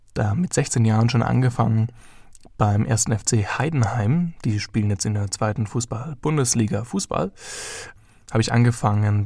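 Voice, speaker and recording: A man's voice: mid-range voice, vocal fry, warm tone, no echo, studio quality. A young man in his early twenties hosting his own podcast, talking casually and rambling with lots of fillers. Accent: German. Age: young adult. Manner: chatty, rambling, with many fillers. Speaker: podcast host.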